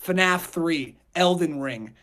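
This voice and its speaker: A man co-hosts a casual group podcast in a mid-to-high speaking voice. He talks in a slangy, animated way, swinging between louder and quieter delivery. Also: slow pace. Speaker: podcast co-host.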